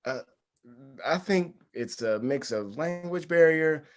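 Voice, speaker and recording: A man's voice: on the high side, animated, takes it slowly. A man playing an uneasy, wry young groom in a comedy table read, hesitant and understated. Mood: uneasy, polite.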